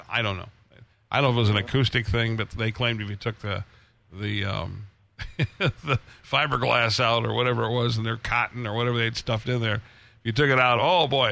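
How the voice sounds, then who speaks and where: A man hosting a casual radio chat about old transmitters, rambling with many pauses and restarts. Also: mid-range voice, creaky, pushed and bright.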